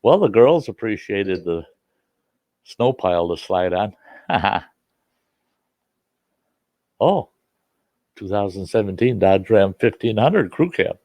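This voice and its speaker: A man's voice: lowish voice, sing-song, slow pace, pauses often, a little mellow, no echo. An older man with a slightly stuffy nose, chatting and reminiscing in a folksy, rambling way as he hosts a casual livestream from home. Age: 60s or older. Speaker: livestream host and storyteller. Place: indoors at home.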